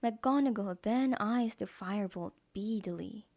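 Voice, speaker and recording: Slow, mellow woman narrator. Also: mid-range voice, gravelly. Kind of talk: scripted storybook narration.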